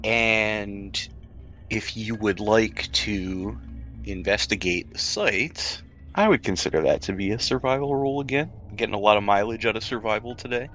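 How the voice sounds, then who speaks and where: A man's voice: on the low side, normal speed, a bit bright. A man narrating a tabletop role-playing game as game master, describing scenes with casual asides and small hesitations.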